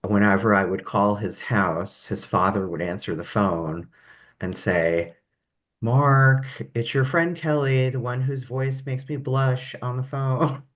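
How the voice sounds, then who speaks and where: A woman hosts a conversational podcast with a voice deep enough to be ambiguous. She chats casually, playful and a little self-deprecating. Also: takes it slowly. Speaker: podcast host. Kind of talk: casual chat.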